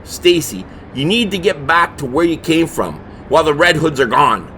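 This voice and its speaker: A man plays a cranky brother in a comedy horror audio drama. His voice is high and swings widely in pitch. He is sarcastic and exasperated, with faint noise behind him. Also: animated, moderate pace, pushed and bright.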